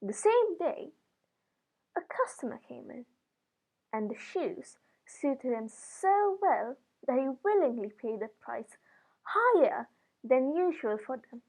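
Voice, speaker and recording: A woman reading a fairy tale aloud as scripted narration, with expressive, dramatic emphasis. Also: high voice, takes it slowly, low-quality recording. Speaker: storybook reader.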